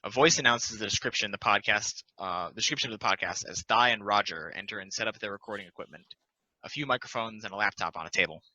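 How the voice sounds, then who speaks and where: A man's voice: fairly low pitch, fast talker, bright, forceful tone, no echo, low-quality recording. A man reading a script aloud as narrator at a play table read. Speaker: narrator reading stage directions.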